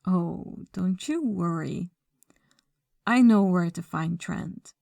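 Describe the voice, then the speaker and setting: A woman playing a commanding, coolly threatening female mafia boss in an audio roleplay, speaking deliberately and with authority. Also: fairly low pitch, creaky, a little mellow, no room sound. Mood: composed, decisive. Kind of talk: ASMR audio roleplay acting.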